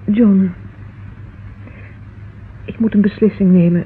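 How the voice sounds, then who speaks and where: A woman's voice: mid-range voice, fairly even intonation, takes it slowly. An actress playing an anxious, affectionate young woman in a Dutch radio drama, hesitant and confiding. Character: anxious, affectionate young sweetheart of a police inspector. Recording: muffled, husky-sounding old radio recording.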